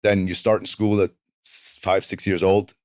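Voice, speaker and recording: A man in his thirties from northern Italy with a South Tyrolean German-Italian accent, whose first language is a German dialect. He talks as a guest in a relaxed, rambling way, leaning on "like" a lot. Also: deep voice, normal speed, no room sound, clean studio sound. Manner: relaxed, rambling, heavy use of "like". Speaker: interview guest, professional wrestler. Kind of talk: casual interview chat. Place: studio.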